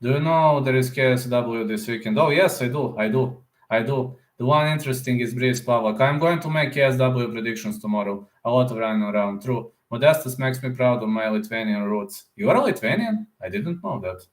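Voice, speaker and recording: Man, casual live stream chatter, rambling, middle pitch, roomy echo. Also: a little fast, few pauses. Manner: rambling, answers viewer comments aloud; repeats words, often ends with "man". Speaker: combat sports YouTuber.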